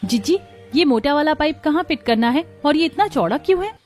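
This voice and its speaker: A young village daughter-in-law in a cartoon , acted by a woman, with a high voice. She sounds inquisitive and easily worried, speaking scripted dialogue over music. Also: lively intonation, a little fast, darkish tone. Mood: curious, doubtful.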